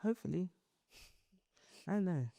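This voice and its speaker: A woman with a stuffy, blocked-up nose co-hosts a casual podcast. She talks with lively, playful energy and slips into laughter and mock voices. The sound is close and dry. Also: lowish voice, normal speed, gravelly, no echo. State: stuffy nose from hay fever. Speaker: podcast co-host. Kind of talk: casual chat.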